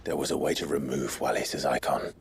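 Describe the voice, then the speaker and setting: A man playing a grave, gentle young hero in a video game, speaking in a measured, breathy near-whisper. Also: extremely low pitch, heavily acted. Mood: grave, earnest. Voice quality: breathy, often half-whispered. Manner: solemn, measured. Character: gentle, grave young male hero bearing heavy burdens. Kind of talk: video game cutscene acting. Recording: music behind the voice.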